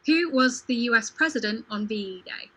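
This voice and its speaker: A woman's voice: a little high, expressive, moderate pace, fairly bright tone, husky. A woman running a casual quiz on a livestream as quizmaster, playful and jokey. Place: home video call.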